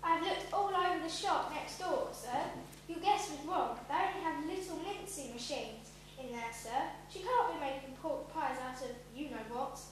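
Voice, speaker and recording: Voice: sits high, animated, normal speed. A school pupil playing a dutiful police sergeant in an amateur radio play. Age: child, about 12 to 13. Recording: very echoey, distant mic, hum.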